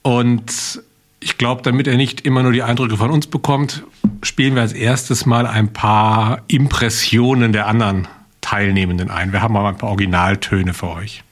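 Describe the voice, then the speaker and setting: A man's voice: lowish voice, little pitch movement, moderate pace. Upbeat male podcast host. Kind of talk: casual podcast chat. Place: on site at a training course.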